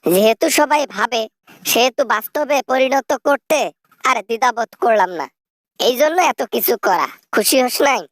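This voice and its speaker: Woman acting scheming old political matriarch, high voice, coaxing, boastful, dry close sound, parody skit. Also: expressive, normal speed, no room sound, close to an everyday voice. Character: scheming elderly mother, an exiled corrupt politician, coaxing and shameless. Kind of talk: satirical phone-call parody skit.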